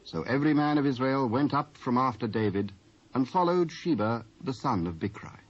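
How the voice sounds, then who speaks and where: A British man narrating scripture from a script in a formal, measured storytelling manner. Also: mid-range voice, lively intonation. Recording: muffled old cassette.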